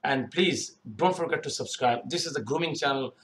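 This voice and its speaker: A man's voice: medium pitch, moderate pace, fairly bright tone. Young male career-tips host, loose and unscripted, lots of fillers and restarts, webcam sound in a small room. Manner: rambling, repeats himself, many fillers. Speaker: youtube career advice host. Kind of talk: unscripted screen-share tutorial. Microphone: webcam in corner of screen recording.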